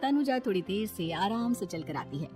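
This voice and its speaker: A woman narrates an animated family tale in a medium-pitched voice. The delivery is scripted storytelling, with background music running underneath. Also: moderate pace. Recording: music behind the voice.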